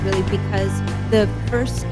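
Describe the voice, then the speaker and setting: A woman's voice: medium pitch, steady tone, a little slow, over loud music. A woman from Morocco, a guest on a podcast, telling stories cheerfully and laughing often in casual chat.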